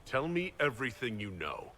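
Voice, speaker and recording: A man's voice: mid-range voice, sing-song, moderate pace, pushed and bright, full character voice. A man playing a resolute, commanding hero in a fantasy game, firm and direct. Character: resolute young mage hero, commanding inquisitor. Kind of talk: fantasy game cutscene acting. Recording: music behind the voice.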